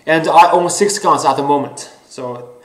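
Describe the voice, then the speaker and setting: A man whose first language is Chinese talks slowly and earnestly, stopping often on fillers. His voice sits a little high. The recording picks up a noticeably echoey room around him. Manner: earnest, deliberate, many pauses and fillers. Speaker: host. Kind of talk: casual solo talk show.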